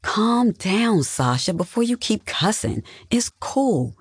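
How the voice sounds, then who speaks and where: A narrator acting out a patient wife in an audiobook, her voice lifted high above the narration. She is conciliatory and soothing, with a slightly husky grain in a dry recording. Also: sing-song, takes it slowly, dry recording, heavily acted. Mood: calming. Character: placating, patient wife, adult woman.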